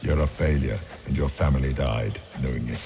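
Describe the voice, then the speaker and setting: An actor playing an ancient, menacing fear demon who taunts in a mocking, condescending, deliberate way for a video game. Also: male voice, a bass voice, over music. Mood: cruel taunting.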